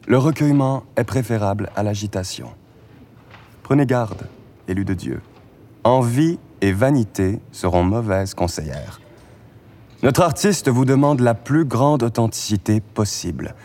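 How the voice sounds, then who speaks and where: A stern parish priest with a lively, swooping delivery. He speaks in a solemn, preaching way, weighing each word like a sermon. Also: mid-range voice, slight background noise. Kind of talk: film acting.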